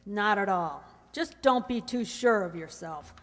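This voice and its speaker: A woman's voice: mid-range voice, lively intonation, a little slow. An actress playing a tough, guarded female go-between in a radio crime drama, slangy and defensive. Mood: wary, impatient. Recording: reverberant hall.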